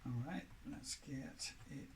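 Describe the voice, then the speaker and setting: A man giving casual hands-on repair narration, halting and half talking to himself as he works. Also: medium pitch. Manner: muttering to himself while working, halting. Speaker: DIY repair presenter. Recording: clearly noisy, with clicks and tool handling.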